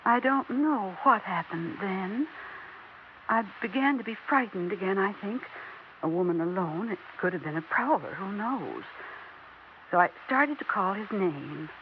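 A woman's voice: mid-range voice, lively intonation, measured pace, natural voice. An American actress playing a fragile, deluded middle-aged widowed mother in a theatrical, emotionally overwrought 1960s radio drama style. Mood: anxious, haunted. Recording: muffled, noisy 1960s radio recording.

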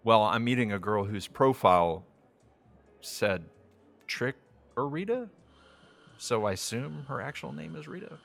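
A man's voice: on the low side, expressive, unhurried, creaky, long pauses, a bit bright, heavily acted. An actor playing an awkward, evasive young man on a blind date in an audio drama comedy, hesitant, stammering and apologetic. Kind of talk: audio drama acting, romantic comedy dialogue.

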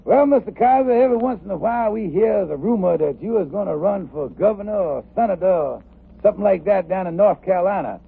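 A good-natured, folksy everyman hosting an old radio comedy. His voice is high and very husky, with a gravelly edge. He talks earnestly and politely in homespun grammar. The sound is thin and boxy, like a vintage broadcast. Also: quick pace, exaggerated voice. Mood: earnest, admiring. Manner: folksy, ungrammatical, polite and deferential. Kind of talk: 1950s radio comedy acting. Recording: muffled, narrow-band old radio broadcast.

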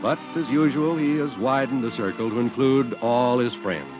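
A man's voice: medium pitch, moderate pace, music in the background. A man announcing in a scripted 1940s radio advertising and narration style. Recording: muffled 1940s radio recording.

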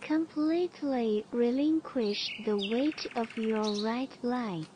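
Woman, meditation guide, very slow pace, soothing, long pauses, noticeable nature noise with chirping birds. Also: a little high. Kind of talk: guided body scan relaxation. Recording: clear background noise with birdsong. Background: chirping birds, nature ambience.

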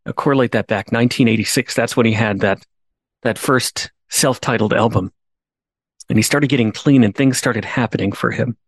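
A man's voice: mid-range voice, normal speed, dry recording. A man hosting a podcast, telling a story in an earnest way, restarting on repeated words and rising to emphatic pleas. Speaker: podcast host. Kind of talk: storytelling monologue.

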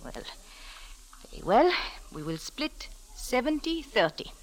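Cool, scheming femme fatale. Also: female voice, high-pitched, very animated, unhurried, exaggerated voice, rough recording. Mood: cool, haughty. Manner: formal, clipped, commanding. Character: cool, scheming, imperious mystery woman. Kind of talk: 1940s radio acting.

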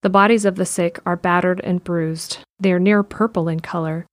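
A woman narrating an audio drama in a calm, steady read. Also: on the low side, moderate pace, dry recording, clean studio sound.

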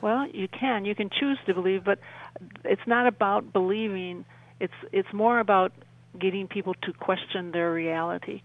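A woman speaking as a radio interview guest over a tinny remote line, earnestly explaining with rhetorical questions. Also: medium pitch. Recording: tinny, narrow phone-like line.

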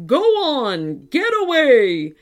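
A woman voicing a huge robot dinosaur in a children's story, gruff and curt with a lonely streak. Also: fairly high pitch, sing-song. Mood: fierce, roaring. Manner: roaring, curt, drawn-out delivery.

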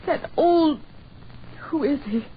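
A woman's voice: high voice, lively intonation, slow pace. An actress playing an anxious, bewildered woman, pleading and urgent, in a 1940s radio horror drama. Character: anxious, bewildered woman trapped in danger, tender companion. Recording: tinny, noisy 1940s radio recording.

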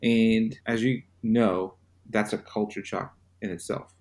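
A man from Zimbabwe, a podcast guest, telling his personal story in a measured, reflective way. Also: on the low side, fairly even intonation, darkish tone.

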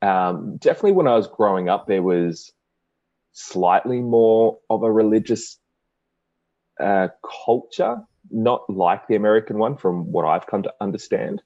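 A young Australian man with an Australian accent, chatting as a podcast guest in a relaxed way with dry humor. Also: on the low side, unhurried, no room sound, highs cut off. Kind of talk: casual interview.

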